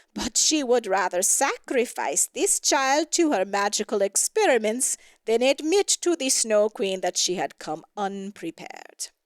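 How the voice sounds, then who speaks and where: Slow, animated woman storyteller. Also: a little high, no room sound. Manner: expressive storytelling with deliberate emphasis. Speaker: story narrator. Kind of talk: scripted fairy tale narration.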